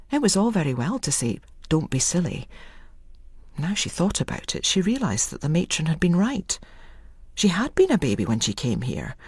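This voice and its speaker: A woman narrates a story in a husky, breathy voice, often dropping to a near whisper. Her pitch is fairly low yet moves expressively, and the recording is close and dry. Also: dry recording. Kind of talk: scripted audiobook narration.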